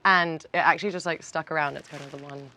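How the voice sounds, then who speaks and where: A woman songwriter whose first language is Albanian tells a childhood story in a lively way. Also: sits low, quick pace, crowd noise. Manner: storytelling, animated. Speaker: songwriter guest. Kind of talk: casual chat. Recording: noisy background.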